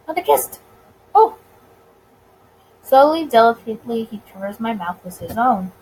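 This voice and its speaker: A woman reading a visual novel aloud as a let's player, expressively and with dramatic pauses. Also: fairly high pitch, lively intonation, darkish tone, slightly rough, poor audio. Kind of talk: expressive story reading with reactions.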